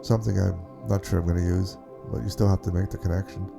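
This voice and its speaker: A man giving a casual, unscripted software walkthrough, explaining things step by step in an informal way. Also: low voice, fairly even intonation, quick pace, vocal fry, over music. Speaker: tutorial presenter.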